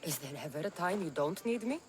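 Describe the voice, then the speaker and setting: Lively, wry mercenary woman played by an actress. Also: lowish voice, fast, slight background noise, close to an everyday voice. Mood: wry, curious. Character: tough, wry young female mercenary, skeptical and bold. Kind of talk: video game cutscene acting.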